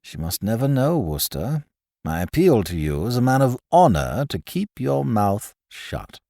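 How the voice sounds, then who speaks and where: A man voicing a languid, smug young man laid up in bed, who speaks in a quiet, slow, patronizing drawl. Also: on the low side, big pitch swings, creaky, dry recording, clean studio sound.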